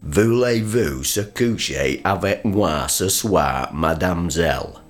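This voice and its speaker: A man playing a grumpy, crude working-class father in a radio comedy sketch, gruff, sarcastic and blustering. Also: low voice, animated, slow pace. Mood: ranting.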